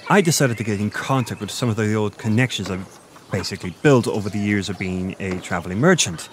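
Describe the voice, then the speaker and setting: A man playing a flirty, boastful Irish innkeeper, chatting in a meandering, intimate roleplay monologue with thoughtful pauses. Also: lowish voice, expressive, slight background noise, natural voice. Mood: proud, animated storytelling.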